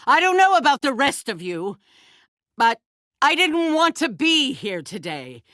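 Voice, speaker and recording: An actress playing a calculating, self-righteous leader of a survivor community in a video game, speaking in a measured, condescending, politician-like way. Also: female voice, high-pitched, very animated, a bit bright, dry recording, studio quality, full character voice. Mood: rousing, accusing. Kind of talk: dramatic video game voice acting.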